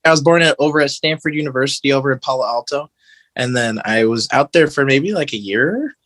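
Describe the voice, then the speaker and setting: A man's voice: medium pitch, brisk, a bit bright, dry recording. An American man chatting casually as a podcast guest, telling stories in an informal, slangy way. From Michigan, United States. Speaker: podcast guest, metalcore guitarist. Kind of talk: casual conversational storytelling.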